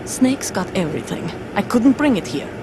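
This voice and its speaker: A woman's voice: medium pitch, sing-song, normal speed, noisy background, lightly acted. An actress playing a guarded, stoic female soldier in a video game drama, speaking in a terse, serious, controlled manner. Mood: stern, controlled. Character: guarded female soldier, stoic mother forced into covert service. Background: music.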